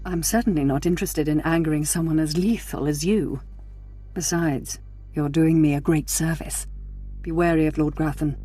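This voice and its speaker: A woman's voice: deep voice, fairly even intonation, moderate pace, dark tone, slightly rough, faint music behind. A woman voicing a scheming, power-hungry Sith noblewoman in a video game, formal, silky and imperious. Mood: sly, vengeful.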